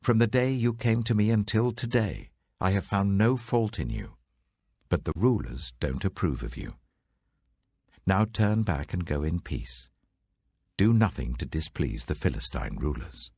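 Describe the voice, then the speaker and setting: Man acting a deep-voiced, earnest ancient king. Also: animated, a little slow, a little mellow, slightly rough, no room sound, clean studio sound, full character voice. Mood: regretful, firm. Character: courteous male ancient king, earnest and conciliatory.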